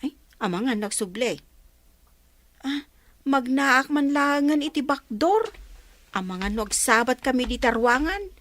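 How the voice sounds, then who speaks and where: A woman's voice: sits high, lively intonation, unhurried, pauses often, a bit bright, no room sound. A woman with an Ilocano accent playing an outspoken young village woman in a comedy radio drama. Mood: watchful.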